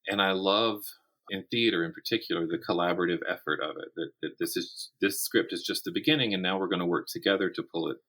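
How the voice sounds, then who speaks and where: A man's voice: sits low, quick pace, bright, forceful tone, low-quality recording. A middle-aged man speaking as an interview guest, a writer talking thoughtfully and conversationally, often restarting his phrases. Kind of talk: conversational interview about writing craft.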